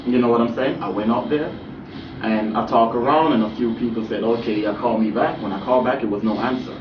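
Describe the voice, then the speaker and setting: A man's voice: mid-range voice, a little fast. A young man with a Jamaican accent, a guest actor chatting casually in an interview and often saying "you know what I'm saying". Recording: distant roomy muffled recording, noisy.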